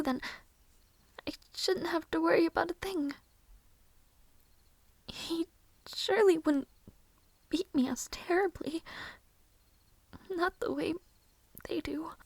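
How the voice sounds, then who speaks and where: Casting: gentle, downtrodden young maid for audio roleplay, played by a woman; high, slow, breathy half-whisper, formal phrasing. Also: no room sound. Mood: quietly hopeful. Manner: slow, soft, formal old-fashioned phrasing, musing to herself. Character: weary, mistreated young maid, a gentle bride-to-be.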